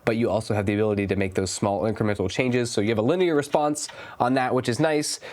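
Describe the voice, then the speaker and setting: A young man talks through a product review in a relaxed, casual way, clearly a bit stuffed up from a cold. His voice sits at an ordinary middle pitch, and he gets enthusiastic about the gear. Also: fast. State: stuffy, under the weather.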